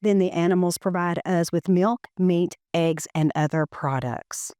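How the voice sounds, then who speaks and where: A woman reads a children's educational picture book aloud in measured, deliberate phrasing. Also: on the low side, warm tone, clear, resonant tone, no room sound, studio quality.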